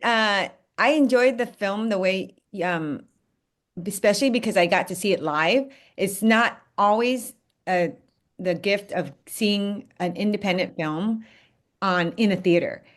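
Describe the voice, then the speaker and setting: A woman's voice: mid-range voice, measured pace. A woman from Virginia speaking earnestly and at length on a video call, rambling a little and apologizing for it. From Virginia, United States. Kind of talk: casual interview over video call.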